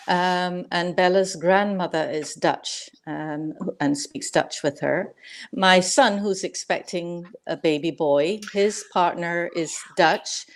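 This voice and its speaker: A woman in her sixties from Barbados, speaking as a grandmother guest on a live online panel, measured and dotted with "um" pauses. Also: fairly low pitch. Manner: measured, many "um" fillers. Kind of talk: live video panel conversation.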